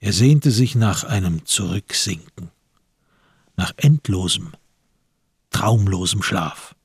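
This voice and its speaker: Guy narrating a crime radio play, really raspy lowish voice, very deliberate, close dry sound. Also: animated, no echo. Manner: measured, deliberate phrasing with dramatic pauses. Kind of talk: literary scripted radio narration.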